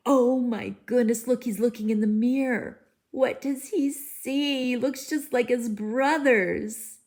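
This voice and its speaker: A woman teacher reads a picture book aloud to young children. Her delivery is very unhurried and expressive, with wide swings in pitch and simple explanatory asides. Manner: deliberate, animated, simple child-directed phrasing.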